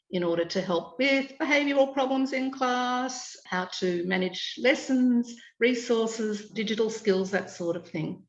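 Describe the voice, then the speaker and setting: A woman in her sixties with an Australian accent, an educator explaining things in a measured, informative way over a video call from home. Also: medium pitch. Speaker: educator and curriculum consultant. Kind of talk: video call interview answers. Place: home room, indoors. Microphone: webcam on a video call. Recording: echoey room over a dull video call.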